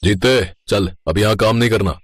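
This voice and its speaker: A man voicing an honest, gruff truck driver and cook who talks plainly and firmly in a moral story cartoon. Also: lowish voice, moderate pace, no room sound, studio quality, close to an everyday voice. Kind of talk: animated moral story dialogue.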